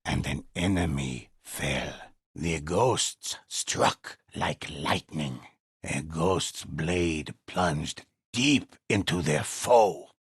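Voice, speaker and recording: An actor playing a grave old male storyteller in a video game, narrating warrior legends in a solemn, measured, husky voice. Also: low-pitched, no room sound, studio quality, heavily acted. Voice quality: husky, raspy. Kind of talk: dramatic scripted storytelling narration.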